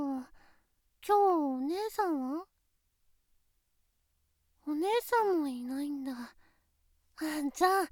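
A woman's voice: very high voice, no room sound. A voice actress playing a shy, sweet teenage girlfriend who stammers when flustered, in a close-miked situation voice drama.

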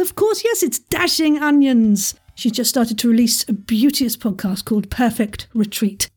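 A woman hosts a casual audio quiz in a high-pitched, soft-edged mellow voice with a husky grain. She reads out questions, then wanders into jokey asides and cuts herself off. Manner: jokey, rambling, self-interrupting asides. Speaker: podcast host and quizmaster. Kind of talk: informal chatty quiz hosting.

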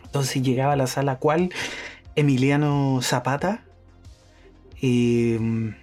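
A man's voice: mid-range voice, flat delivery, slow, darkish tone, soft background music. A man hosting a podcast, talking through his thoughts in a casual monologue, hesitant, with many fillers and restarts. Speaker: podcast host.

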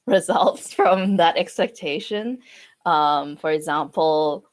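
A young woman from Hong Kong, in her twenties, reflects in a relaxed chat, pausing often with fillers. Her voice sits low for a woman. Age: 20s. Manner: thoughtful, hesitant with fillers. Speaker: guest. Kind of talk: casual conversation.

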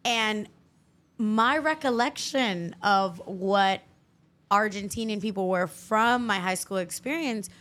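A Puerto Rican woman who grew up in Miami hosts a casual podcast. She speaks in a measured, thoughtful way at an ordinary mid pitch. She drops short acknowledgments while her guest talks. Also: fairly bright tone. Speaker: podcast host. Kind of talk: casual chat.

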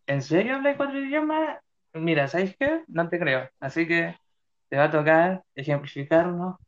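A man's voice: fairly high pitch, animated, moderate pace. A young Chilean man playing an interviewer, who rambles and searches for words. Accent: Chilean Spanish. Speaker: mock interviewer. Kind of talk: casual role-played interview. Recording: muffled, dull sound.

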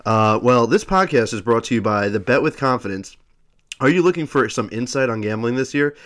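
A man's voice: mid-range voice, lively intonation, a little fast, a bit bright. A man co-hosting a casual sports podcast, congested and stuffy, rambling with many fillers and restarts. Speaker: podcast co-host.

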